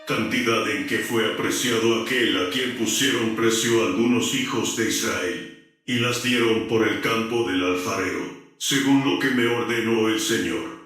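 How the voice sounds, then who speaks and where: A solemn prophet, voiced by a man, speaks in a grave, even tone. His voice rings in a heavily echoing space, with soft music under it. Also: fairly low pitch, measured pace. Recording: very echoey room.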